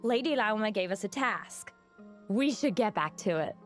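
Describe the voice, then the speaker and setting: A woman's voice: mid-range voice, lively intonation, normal speed, soft background music, natural voice. A woman voicing a playful young acolyte in a video game, mischievous yet dutiful, with a teasing manner. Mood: good-natured. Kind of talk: scripted video game dialogue.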